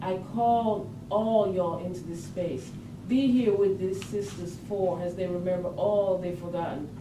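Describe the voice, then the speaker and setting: A woman's voice: on the low side, fairly even intonation, a little slow, distant mic, lightly acted. A woman on stage playing a solemn ancestral spirit grandmother, declaiming like an incantation in a ritual monologue. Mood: solemn, invoking. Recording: echoey room from afar, noisy.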